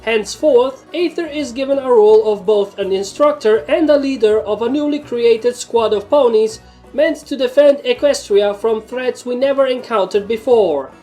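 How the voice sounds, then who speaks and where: Dutiful guard pony acted by a woman, official, proclaiming, raised pitch, storybook reading, background music. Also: moderate pace, warm tone, lightly acted. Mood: formal, proclaiming.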